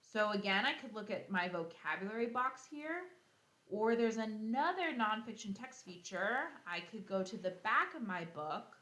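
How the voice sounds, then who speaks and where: A woman teacher reading a children's nonfiction book aloud, expressively, pausing to explain and think out loud for young pupils. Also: mid-range voice, roomy sound. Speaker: elementary school teacher.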